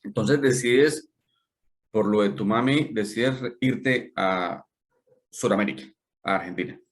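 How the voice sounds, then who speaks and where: A man's voice: on the low side, a little slow. A man hosting an interview, curious and hesitant, often rephrasing his questions as he goes. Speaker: interview host. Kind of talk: conversational interview.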